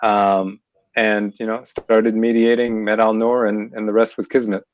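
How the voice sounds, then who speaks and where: Low-voiced middle-aged man on a dry, dull line. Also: little pitch movement, no echo. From born in Scotland, raised in Pennsylvania. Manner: measured and articulate, with frequent fillers. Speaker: mediator and lawyer, interview guest. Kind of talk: casual interview answers.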